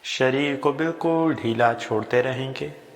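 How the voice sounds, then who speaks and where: A man guiding a sleep meditation with gentle, unhurried instructions in short phrases with long pauses between them. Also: mid-range voice. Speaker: yoga nidra guide. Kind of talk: guided sleep meditation, slow spoken instructions. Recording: long reverb on the voice.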